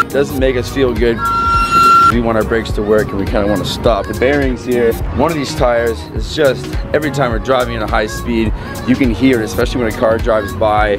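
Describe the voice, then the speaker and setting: A young man chats to his camera as a travel vlogger, outdoors in a parking lot. His voice sits at an average male pitch. Loud music plays behind him. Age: young adult. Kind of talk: casual vlog talk to camera. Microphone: handheld camera at arm's length.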